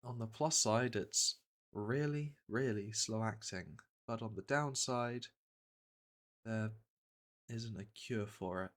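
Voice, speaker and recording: A man playing a gentle veteran monster hunter in a fantasy ASMR roleplay, speaking softly and reassuringly, with a hesitant, matter-of-fact manner. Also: lowish voice, slow pace. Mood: explaining, sober. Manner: soft, hesitant, soothing delivery.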